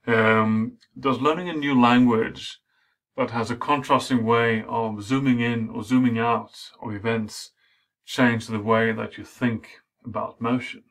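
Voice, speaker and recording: A middle-aged man, a native Swedish speaker, giving an academic lecture in a measured way with frequent fillers. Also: medium pitch, steady tone, a bit bright.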